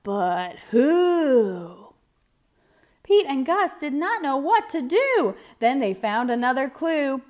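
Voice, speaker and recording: A woman's voice: sits high, very animated, slow, darkish tone. A woman narrating a children's read-aloud story expressively, with dramatic emphasis.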